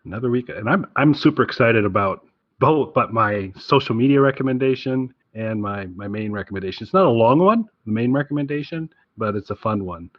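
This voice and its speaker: A man's voice: medium pitch, animated, a little fast, no echo, lo-fi sound. A man hosting a podcast, chatting casually about his recommendations in an enthusiastic, rambling way. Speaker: podcast host.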